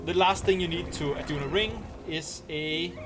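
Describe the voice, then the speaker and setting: A man's voice: on the high side, normal speed, very noisy. A man with a Danish accent casually talking through a game on a live stream, rambling and explaining as he plays, with frequent ums and restarts. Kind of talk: casual live-stream commentary while playing.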